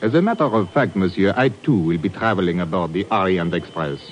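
An actor playing a formal European police inspector, measured in manner, in 1950s radio drama style. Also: male voice, low-pitched, animated, a little hiss or hum. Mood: formal, evasive. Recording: muffled vintage radio broadcast.

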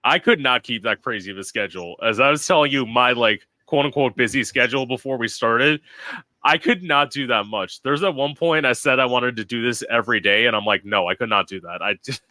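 Man from Florida, bright edgy voice, dry close sound, sassy chatty host, lots of "like". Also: medium pitch, fairly quick, no echo. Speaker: podcast host. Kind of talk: casual interview chat.

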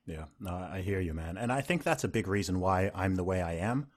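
Laid-back low-voiced man chatting. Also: brisk. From grew up in Saudi Arabia. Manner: animated, mocking asides, frequent likes. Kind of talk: casual conversation.

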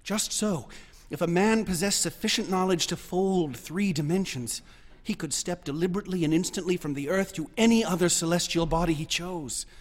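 An actor playing an obsessive young mathematics student in a radio drama, eagerly lecturing and insistent. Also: male voice, sits high, normal speed. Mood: earnest, visionary. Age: young adult.

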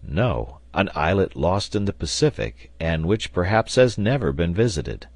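Learned leader and engineer for a storybook, voiced by a man: low, measured, calm, exact. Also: no echo, heavily acted. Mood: matter-of-fact. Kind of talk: audiobook character dialogue.

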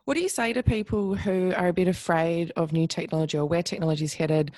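A woman's voice: fairly low pitch, fast talker, darkish tone. A woman hosting a podcast, asking questions and giving an upbeat outro. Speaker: podcast host.

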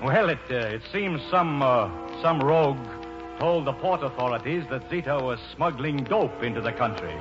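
An actor in a 1940s radio play as a roguish, grandly romantic American actor who declaims poetically. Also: male voice, medium pitch, expressive, moderate pace, lightly acted. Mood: evasive, breezy. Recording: muffled old radio broadcast, music behind.

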